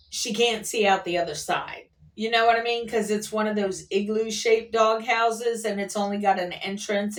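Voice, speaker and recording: A woman's voice: medium pitch, recorded from a distance. A woman vlogger telling rambling, casual stories in a chatty, folksy and playful way. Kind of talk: casual rambling storytelling to camera. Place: at an airport workplace.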